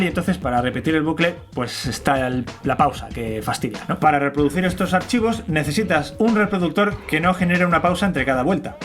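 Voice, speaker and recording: A man's voice: fairly high pitch, a bit bright. A man with a Spain Spanish accent presenting an informal tutorial in a colloquial, chatty way, with conversational asides.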